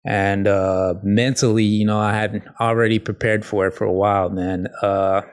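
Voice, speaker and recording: A man hosting a casual solo podcast, talking in a halting, rambling, informal way with a slight lisp. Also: on the low side, level tone, clear, resonant tone. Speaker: podcast host.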